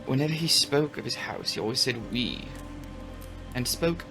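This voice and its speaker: A man giving a dramatic audiobook reading of a classic novel, with expressive, deliberate, theatrical phrasing. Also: mid-range voice, music in the background, poor audio. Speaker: audiobook narrator and channel host.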